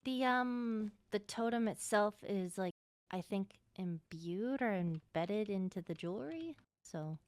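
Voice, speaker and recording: A woman's voice: mid-range voice, animated, unhurried, no room sound. A woman improvising casual in-character conversation as a player in a game roleplay.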